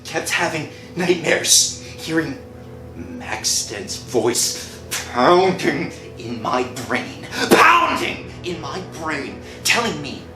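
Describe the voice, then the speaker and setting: A man playing an anguished, guilt-ridden husband in a radio horror drama, halting and agitated. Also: a little high, very animated. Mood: tormented. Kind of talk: old-time radio horror acting. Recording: echoey room, faint music behind.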